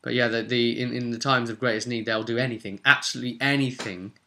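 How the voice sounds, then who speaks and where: A man's voice: mid-range voice, fairly even intonation, fast, gravelly, pushed and bright. A British man talking casually and off the cuff as a game master hosting his own vlog, chatty and thinking aloud. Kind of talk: casual unscripted rambling monologue.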